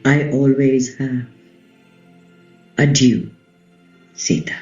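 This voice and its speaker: Fierce, slow-spoken ancient queen acted by a woman. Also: rumbling low, fairly even intonation, darkish tone, exaggerated voice. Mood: solemn. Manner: slow, declamatory, emphatic. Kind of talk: dramatic play reading, radio play. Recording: muffled, roomy recording with music behind.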